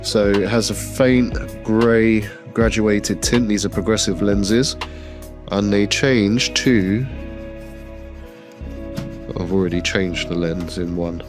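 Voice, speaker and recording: A man's voice: lowish voice, slow, music in the background. A man with a cold and a slightly nasal voice, casually narrating a workshop vlog about a glasses repair. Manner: relaxed, enthusiastic product talk with fillers. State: has a cold. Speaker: optician showing a frame job.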